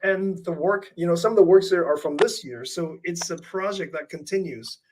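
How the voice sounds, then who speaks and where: A man's voice: sits high, normal speed, darkish tone, a little hiss or hum, poor audio. A man being interviewed about his art, speaking in a thoughtful, reflective way with frequent fillers and restarts. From Canada. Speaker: artist interviewee. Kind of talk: conversational interview.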